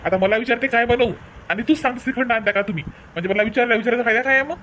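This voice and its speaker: पुरुषाने साकारलेला भरभर बोलणारा उंच आवाजाचा नवरा. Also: male voice, very high-pitched, very fast, pushed and bright, a little hiss or hum. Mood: mock annoyed. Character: easygoing, teasing husband in a family cartoon. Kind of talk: animated family comedy dialogue.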